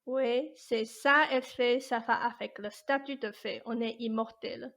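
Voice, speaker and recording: A woman's voice: on the high side, steady tone, unhurried, vocal fry, a bit bright. A woman acting as a jaded, ancient immortal fairy godmother in a radio play, blunt, colloquial and mischievous. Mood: weary, nostalgic. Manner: blunt, colloquial, world-weary. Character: jaded 874-year-old immortal fairy godmother, grumbling but mischievous.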